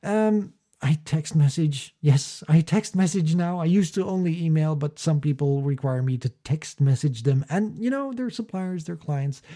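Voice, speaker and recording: Male presenter, mid-pitched and deeply mellow; casual explainer delivery, conversational, dry humour, leans on key words. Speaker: host, small business owner.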